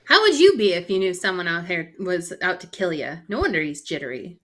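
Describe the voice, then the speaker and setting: An excitable, inquisitive lady sidekick, acted by a woman, with a husky voice that swoops dramatically. She is exclamatory, in old radio mystery style. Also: lowish voice, fairly quick. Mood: sympathetic. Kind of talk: live reading of old-time radio mystery script.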